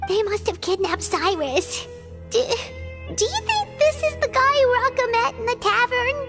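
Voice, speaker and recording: A woman voicing a tiny, excitable floating companion in a game who talks about herself in the third person. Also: very high voice, moderate pace, music in the background, heavily acted. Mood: nervous. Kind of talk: game cutscene voice acting.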